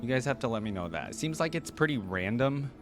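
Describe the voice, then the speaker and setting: A man's voice: medium pitch, expressive, quick pace, over music. A man giving casual reaction commentary as a video host, chatty and enthusiastic as he thinks aloud.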